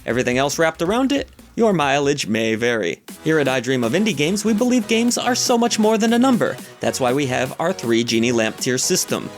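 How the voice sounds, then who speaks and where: A man narrating a scripted video game review in a measured, lightly wry, opinionated way. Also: fairly high pitch, big pitch swings, faint music behind.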